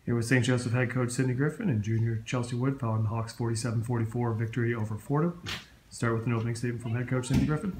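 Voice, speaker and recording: A man moderating a postgame press conference in a brisk, businesslike way. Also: mid-range voice, steady tone, darkish tone, a little hiss or hum. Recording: roomy press-room audio.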